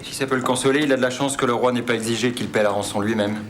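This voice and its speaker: A man's voice: medium pitch, fairly even intonation, rapid-fire, close to an everyday voice. An actor playing a blunt, dryly mocking crewman in a film drama, with noise behind. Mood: wry. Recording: clear background noise, clicks.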